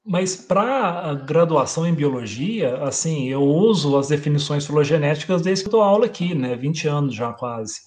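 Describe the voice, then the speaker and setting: A middle-aged man speaks from a room at home over a video call, explaining things at an easy pace. His voice sits notably high for a man. He thinks aloud and keeps checking in with short tag questions. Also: expressive, moderate pace, few pauses, darkish tone. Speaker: guest lecturer.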